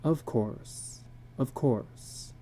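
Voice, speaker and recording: A woman's voice: a bass voice, drawn-out words, mellow, slight background noise, exaggerated voice. A woman voicing a reassuring husband and father in a dramatic story reading, soothing and reasoning. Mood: placating, reluctant.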